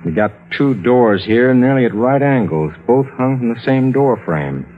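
An actor playing a rational, skeptical lawyer who narrates and argues in a measured, earnest way, in the style of mid-century radio drama. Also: male voice, mid-range voice, animated, lightly acted. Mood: skeptical, pressing. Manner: measured, earnest storytelling, pressing in argument. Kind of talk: 1940s-50s radio drama acting and narration. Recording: tinny old radio recording, music behind.

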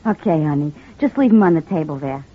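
Sly wife in an old radio play, husky lively voice, lo-fi recording. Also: female voice, low-pitched, fast talker. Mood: sweet. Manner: coaxing, sly. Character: scheming young wife Helen. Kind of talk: 1940s radio acting. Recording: dull old radio transcription.

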